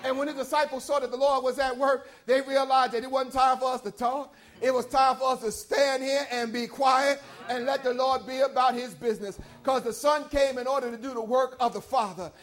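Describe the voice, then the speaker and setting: A man preaching a sermon, building into shouted, rhythmic calls to the congregation. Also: very high voice, steady tone, fairly quick, few pauses.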